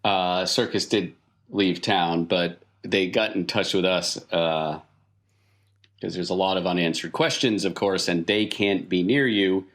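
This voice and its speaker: A man voices a circus clown in a tabletop game, low-pitched and thoroughly matter-of-fact. The clown explains strange business casually, like shop talk. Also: a little slow, a bit bright. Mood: explaining.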